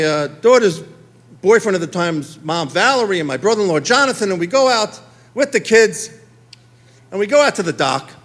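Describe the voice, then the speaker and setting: Middle-aged New man, stand-up comic, high and very animated delivery. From Queens, New York. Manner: animated, acts out dialogue in quick exchanges. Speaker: stand-up comedian. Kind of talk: stand-up storytelling. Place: theater stage.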